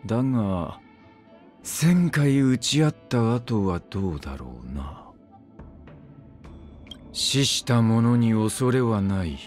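A man's voice: sing-song, takes it slowly, long pauses, darkish tone, faint music behind. A man voicing a brooding, weary immortal swordsman in a game, speaking in terse, clipped phrases. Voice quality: low, curt, grim. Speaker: game character voice lines. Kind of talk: game voice-line acting.

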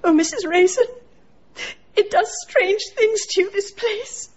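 An actress playing a shaken, haunted grieving woman in a radio drama, halting and fragile in her delivery. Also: very high voice, dull audio. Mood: distraught.

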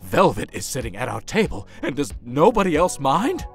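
A man acting a hot-tempered, guilt-ridden gangster brother in an audio drama, speaking haltingly and repeating words. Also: fairly high pitch, big pitch swings, soft background music. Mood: furious.

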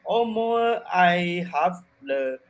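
A man from China in his thirties with a Chinese accent, speaking halting beginner English into a desk mic. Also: high-pitched, animated. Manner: halting, broken English with many fillers. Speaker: English learner. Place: small room indoors. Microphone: desk mic on boom arm.

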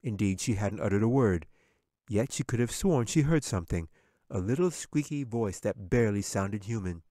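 A man's voice: lowish voice, animated, normal speed, mellow, no room sound, clean studio sound. A man narrating a scripted fantasy audiobook as its author and storyteller.

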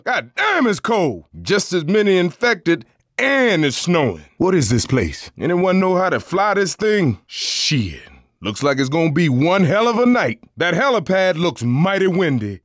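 Big Southern American coach, middle-aged, folksy, emphatic, animated high voice, video game survivor. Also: male voice, dry recording, clean studio sound, heavily acted. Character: big, plain-spoken school coach surviving zombies.

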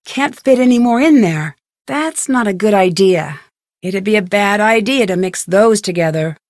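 An actress voices a young woman detective in an adventure game, quick-witted and determined. She talks to herself inquisitively as she puzzles things out, in a medium-pitched voice. The sound is dry, with no room to it. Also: expressive, no room sound, close to an everyday voice. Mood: musing, focused. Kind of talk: scripted video game voice lines, thinking aloud.

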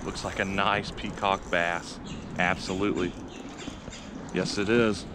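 A man vlogging while fishing outdoors, narrating casually and cheering loudly when fish bite. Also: low voice, unhurried. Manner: excitable, bursts of loud cheering on catches. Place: outdoors by urban water, windy. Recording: windy outdoor audio, clear background noise.

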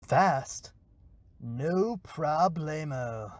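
A young adult playing an exasperated, sarcastic young fairy ride attendant in a web cartoon, deadpan and slangy. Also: medium pitch, drawn-out words, dry recording. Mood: wry, exasperated. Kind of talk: animated web cartoon acting.

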